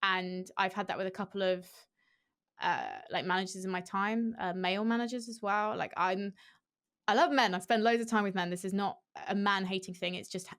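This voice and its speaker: Candid young woman on headset call. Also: medium pitch. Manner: candid, animated, quick with restarts and fillers. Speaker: esports host, guest. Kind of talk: casual interview chat. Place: small room at home. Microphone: headset on video call.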